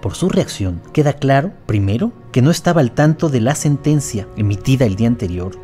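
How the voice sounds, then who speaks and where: Mellow male narrator explaining from a script. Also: mid-range voice, expressive, fairly quick, faint music behind. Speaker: narrator and analyst.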